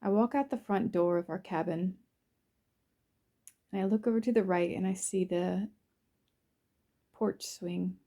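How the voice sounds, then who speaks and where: A woman leads a guided visualization. Her voice is very soft-edged and mellow, at an average pitch for a woman. She speaks soothingly and without hurry, leaving pauses between short phrases as she narrates in the first person.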